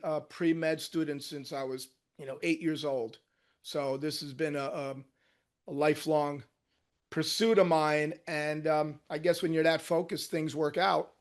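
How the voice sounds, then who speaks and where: A man in his sixties, born in Cuba, speaks with a somewhat high voice for a man. He recounts his path into surgery in a relaxed interview, animated one moment and dropping quiet the next. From Cuba, raised in New Jersey. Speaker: guest, orthopedic hand surgeon. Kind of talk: casual interview storytelling.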